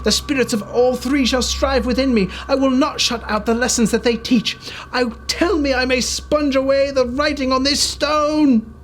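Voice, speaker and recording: A man's voice: extremely high pitch, fairly even intonation, moderate pace, few pauses, a little hiss or hum, voice pushed up. A man voicing a frightened, repentant old miser who pleads with passion in a dramatic storybook reading. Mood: desperate, anguished. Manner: impassioned, pleading.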